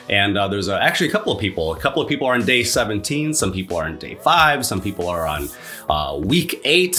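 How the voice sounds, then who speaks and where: A man's voice: fairly low pitch, sharp tone. A man with a congested, stuffy voice hosting his own sobriety channel, chatting casually, laughing at himself, full of fillers and restarts. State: congested from allergies. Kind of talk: casual solo video talk.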